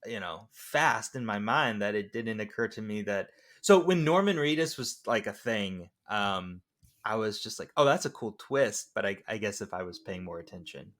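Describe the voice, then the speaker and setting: A man's voice: on the low side, animated, moderate pace, a bit bright. A man chatting casually about films as a podcast panelist, thinking aloud in a conversational way. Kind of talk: casual film discussion.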